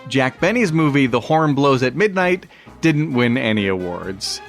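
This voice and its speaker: A man's voice: mid-range voice, lively intonation, measured pace, soft background music. A man hosting a podcast, reading a scripted introduction. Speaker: podcast host.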